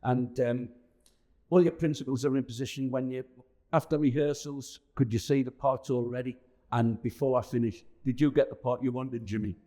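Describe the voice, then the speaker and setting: A man interviewing in a casual, somewhat halting and informal way. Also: mid-range voice, fairly even intonation, warm tone.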